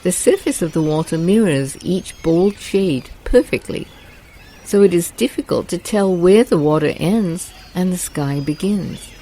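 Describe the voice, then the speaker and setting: A woman guiding a meditation in a slow, soft, soothing voice. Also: fairly low pitch, expressive, poor audio. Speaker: meditation guide. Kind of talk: guided meditation narration.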